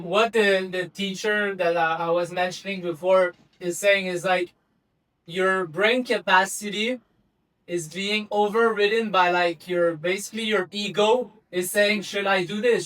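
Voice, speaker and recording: High-voiced man coaching from across the room. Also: fairly even intonation, normal speed, fairly bright tone. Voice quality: rambling, many fillers. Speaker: group facilitator. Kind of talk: casual coaching feedback. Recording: voice a bit far from the mic.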